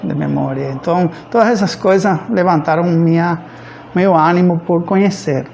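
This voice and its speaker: Higher-voiced Cuban man, gravelly and hesitant. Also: darkish tone, a little hiss or hum. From rural central Cuba. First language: Spanish. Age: around 50. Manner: hesitant, searching for words with frequent pauses. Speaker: physics professor. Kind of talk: informal autobiographical account.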